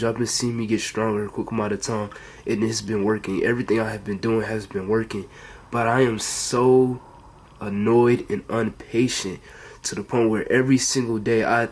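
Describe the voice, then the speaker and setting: A teenage male with an American accent chatting casually to camera in an earnest, rambling and repetitive way. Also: lowish voice. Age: teenager, under 18. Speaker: fitness vlogger. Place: bedroom at home, indoors.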